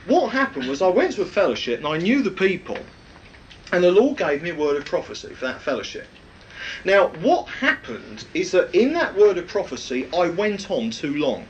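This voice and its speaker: A man with a loud, projecting voice, preaching a rambling, anecdotal sermon with many fillers. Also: fairly high pitch, dull audio, a little hiss or hum.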